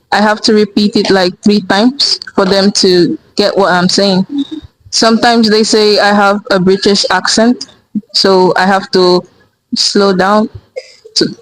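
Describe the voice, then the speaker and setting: A teenage high school student from Nigeria answering interview questions, starting haltingly and then explaining steadily. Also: fairly even intonation, fairly bright tone, dry recording, rough recording. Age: teens.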